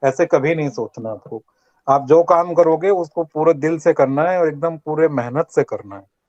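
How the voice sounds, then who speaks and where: Older man, slightly high voice, earnest and repetitive, motivational talk, lo-fi call audio. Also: normal speed, a little mellow. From Rajasthan, India. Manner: earnest, repeats points and asks students to answer back. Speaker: guest speaker at a college workshop. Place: online video meeting. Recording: dull lo-fi online call audio.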